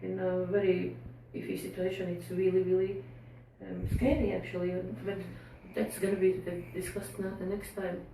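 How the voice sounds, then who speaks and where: A woman's voice: on the low side, little pitch movement, moderate pace, creaky, few pauses, background noise. Woman organiser in an echoey room. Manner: casual, hesitant, laughs easily. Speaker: community meeting organiser. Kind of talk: informal community talk. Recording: echoey room, distant mic.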